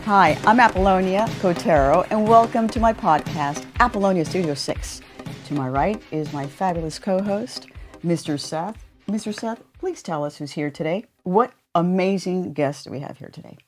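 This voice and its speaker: Slightly low-voiced woman podcast host. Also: normal speed, over music. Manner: enthusiastic, animated questioning. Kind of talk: casual interview chat.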